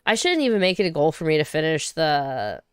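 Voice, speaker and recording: A woman's voice: low voice, very animated, a little fast, clean tone, no echo, studio quality, faint music behind. A woman hosting a casual livestream, rambling and chatty as she reads viewer comments, her voice still recovering from being sick. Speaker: livestream host.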